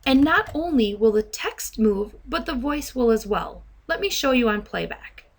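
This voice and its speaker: A woman software trainer narrating a step-by-step demo in a measured, instructional way. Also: on the high side, animated, a little hiss or hum.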